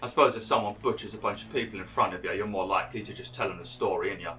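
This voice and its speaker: A young man doing chatty, rambling gameplay commentary, a little hoarse and nasal from being ill. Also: fairly low pitch, very fast, sharp tone, soft background music. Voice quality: slightly nasal, froggy throat. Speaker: gaming YouTuber.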